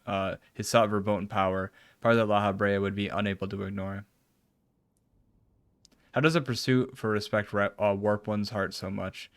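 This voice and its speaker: A man with a fairly low voice plays a game on stream. He reads formal story dialogue aloud, stumbling now and then, and comments in a casual way. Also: a bit bright, no room sound.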